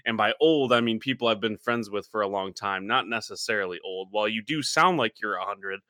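A man hosting a podcast, chatting casually with an old friend and teasing him between laughs. His voice is bright and forward, and the sound is close and dry with no room around it. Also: on the low side, brisk, no room sound. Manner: joking, teasing, laughs often. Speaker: podcast host. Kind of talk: casual chat.

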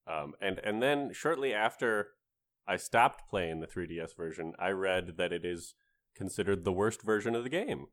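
A man's voice: low-pitched, lively intonation, normal speed, a bit bright. A man talking casually with other podcast panelists about video games.

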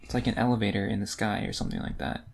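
A man's voice: fairly low pitch, rapid-fire, creaky. A man in his twenties co-hosting a podcast in casual conversation about books. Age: 20s. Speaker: podcast co-host. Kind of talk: casual book discussion chat.

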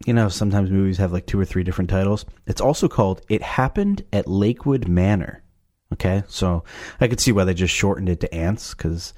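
A man hosting a casual movie watch-along, his voice scratchy and raspy from a cough, making sarcastic jokes as he watches. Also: lowish voice, darkish tone. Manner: joking, sarcastic. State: has a cough. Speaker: host reviewing a movie.

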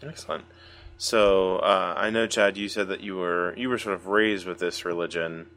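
Man, American, podcast host, very low voice, casual, playful. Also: steady tone, normal speed, fairly bright tone. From United States.

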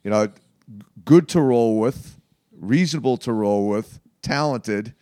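A man's voice: medium pitch, warm tone, no echo. Man in his late fifties, dry close sound. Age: late 50s. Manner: halting, emphatic, often checks with "okay". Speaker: martial arts practitioner being interviewed. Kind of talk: conversational interview answers.

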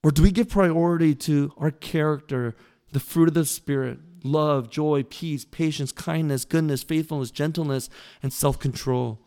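Male pastor, church sermon, earnest and pleading, crisp resonant voice pitched a bit high. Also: mellow. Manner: earnest, pleading, with emphatic pauses. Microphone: mic that briefly cut out.

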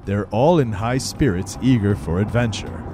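A man's voice: on the low side, moderate pace, over music, close to an everyday voice. A man putting on a formal, solemn announcer voice to narrate a radio play. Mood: solemn, theatrical.

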